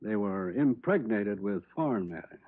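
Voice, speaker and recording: A man's voice: on the low side, animated, normal speed, husky, no room sound, phone-quality sound. Thin-sounding, dry, clipped police lab man. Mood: clinical. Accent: American. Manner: matter-of-fact, clipped. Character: methodical, terse male police forensics man. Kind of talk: 1950s radio crime drama acting. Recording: thin old radio recording.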